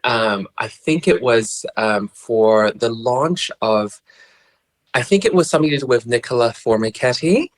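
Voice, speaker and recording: Soft-spoken young man, Canadian-tinged accent. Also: medium pitch, animated, a little slow. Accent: Canadian-tinged English. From Brunei. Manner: polite, soft-spoken, hesitant with many restarts. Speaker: podcast guest. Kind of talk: casual chat. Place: remote call from home.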